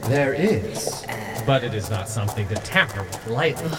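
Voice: medium pitch, lively intonation, normal speed, recorded from a distance, crowd noise, lightly acted. An actor voicing a haughty, condescending, teasing ancient spirit from a gemstone in a fantasy audio drama, speaking in a theatrical, cryptic way. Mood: condescending, teaching. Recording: long echoing reverb, music behind.

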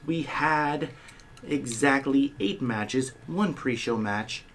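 A man's voice: medium pitch, slow, a bit bright. Man, podcast co-host, casual banter, playful, throat tickle, faint background hiss. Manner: playful, boastful self-introductions. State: slight tickle in the throat, clearing it.

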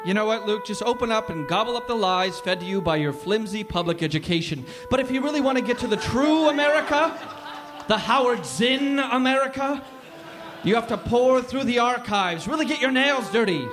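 A man telling a mock-serious history story on stage, pompous and deadpan. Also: extremely high pitch, a little fast, over music. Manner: pompous, deadpan, scolding interruptions.

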